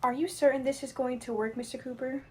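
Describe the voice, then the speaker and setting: Haughty female investor played by a woman, somewhat high, demanding, 1940s radio play delivery. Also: steady tone, fast talker, husky. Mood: skeptical, stern. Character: demanding, haughty female sponsor worried about money.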